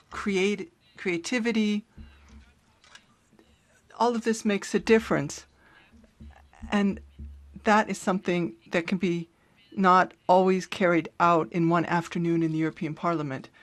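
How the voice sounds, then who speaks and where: A woman giving a live interpretation of a speech, her delivery halting and broken into short phrases. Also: on the low side. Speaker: simultaneous interpreter.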